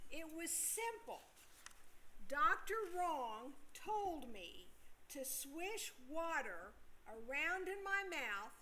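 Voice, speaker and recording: Older woman playing prim wry lady, bright forceful tone, slow deliberate phrasing, theatrical, amateur play reading. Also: sits high, slight background noise, heavily acted.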